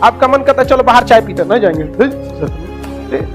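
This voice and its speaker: A man's voice: extremely high pitch, animated, moderate pace. A man speaking as a motivational coach, exhorting listeners in a conversational way with rhetorical questions. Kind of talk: motivational talk. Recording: music behind the voice. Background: music.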